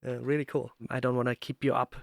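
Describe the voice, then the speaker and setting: A man's voice: mid-range voice, little pitch movement, fast, vocal fry, no echo, studio quality. A man hosting a podcast in a casual, conversational interview style. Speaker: podcast host.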